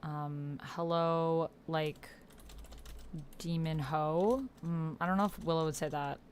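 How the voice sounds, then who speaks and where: Lower-pitched woman chatting casually on stream. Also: fairly even intonation, slow, a little mellow, slight background noise. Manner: informal, slangy, playful. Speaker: streamer and player. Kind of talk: casual livestream chat, reading typed roleplay aloud.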